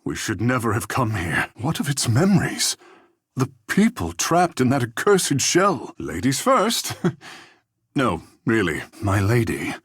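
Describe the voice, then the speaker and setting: Vain young godlike charmer, husky, animated pitch, theatrical, flowery, playfully pompous, fantasy video game dialogue. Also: male voice, measured pace, dry recording, clean studio sound, exaggerated voice. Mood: playful, charming.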